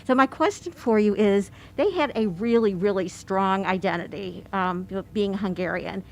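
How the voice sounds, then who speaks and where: A woman's voice: medium pitch, moderate pace. A woman from Detroit with an American accent, telling a conversational personal anecdote as an audience member before asking a question. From Detroit, United States. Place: bookstore event.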